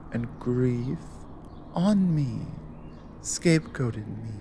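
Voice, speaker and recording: A woman playing an exiled cougar guardian in an intimate ASMR audio drama, lonely and wary yet flirtatious, speaking haltingly in murmurs and whispers. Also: a bass voice, noisy background, heavily acted. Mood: taken aback. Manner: slow, halting, breathy murmurs dropping into whispers.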